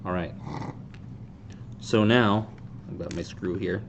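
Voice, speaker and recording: A man in his thirties casually hosting a hands-on how-to demonstration in a deadpan, self-deprecating way. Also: low voice, slow, highs cut off. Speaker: host of a fan group's how-to video. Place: indoor tabletop video shoot. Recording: clear background noise.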